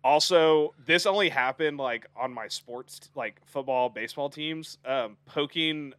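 A man's voice: fairly high pitch, a little slow, a bit bright, dry recording. A man in his twenties co-hosting a podcast, chatting casually in a playful way and laughing through his talk. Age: 20s. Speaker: podcast co-host. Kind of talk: casual chat.

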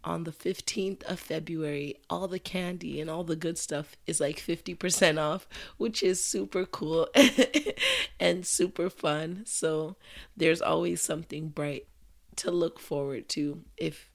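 A woman hosting a casual solo podcast, chatting in a rambling, conversational way. She is hoarse from a sore throat, and English is not her first language. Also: low-pitched, fairly even intonation, a little slow. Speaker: podcast host.

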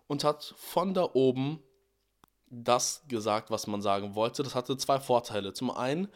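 A young man with a slightly hoarse voice from a cold, hosting a devotional podcast and explaining a Bible verse in a relaxed, searching way. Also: medium pitch. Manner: relaxed, explanatory, with fillers and restarts. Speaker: podcast host.

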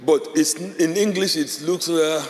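A man's voice: on the high side, unhurried. A man, a Yoruba speaker, teaching a Bible class as a pastor. He explains step by step, repeats key phrases and keeps checking in with his listeners. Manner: explains step by step, checks in with "all right?" and "okay?". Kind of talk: classroom-style teaching talk. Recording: long hall reverb.

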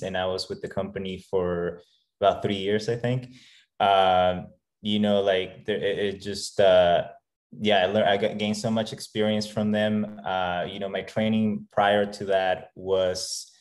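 A man from Peru, a fitness trainer being interviewed, who talks casually with many fillers and restarts. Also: fairly low pitch.

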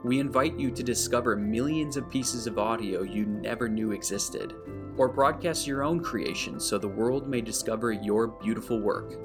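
A man with an average-pitched voice delivers scripted podcast narration. He speaks as the host, addressing listeners directly. Guitar music plays clearly behind his voice. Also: moderate pace.

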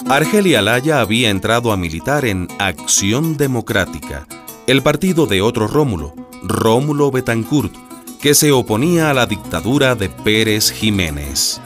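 A man's voice: mid-range voice, big pitch swings, normal speed, music in the background, close to an everyday voice. A man playing the solemn storyteller of a radio drama, in scripted narration. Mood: matter-of-fact. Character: solemn storyteller of a political biography.

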